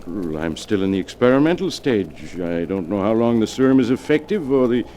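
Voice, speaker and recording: An actor playing a calm, cunning male scientist in a 1940s radio drama, speaking in a polished, measured way. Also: fairly low pitch, slight background noise. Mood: explaining.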